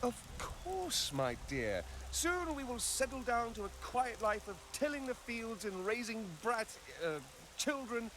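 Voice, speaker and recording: An actor playing a roguish young scoundrel in a video game, a glib, theatrical and wheedling smooth talker. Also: male voice, very high voice, very animated, measured pace, noisy background, full character voice. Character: roguish young male scoundrel, flippant smooth-talking charmer.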